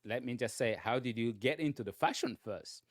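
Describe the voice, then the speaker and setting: A man hosting an interview show in a conversational way, often halting and repeating his phrases. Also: mid-range voice, no echo, studio quality. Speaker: talk show host interviewing a guest.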